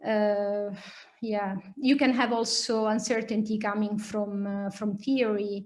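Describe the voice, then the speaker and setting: Italian-accented woman lecturing, halting delivery. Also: mid-range voice, level tone, darkish tone, poor audio. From Italy. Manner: hesitant, halting explanations with many pauses. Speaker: lecturer, nuclear physicist. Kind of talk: academic lecture and question answering.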